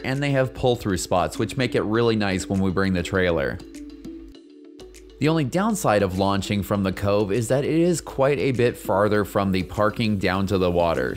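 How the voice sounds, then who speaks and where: Lively-voiced man narrating a kayak tour. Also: on the low side, moderate pace. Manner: friendly, informative tour guide narration. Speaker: kayaking vlogger. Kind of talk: scripted travel voice-over. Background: soft background music.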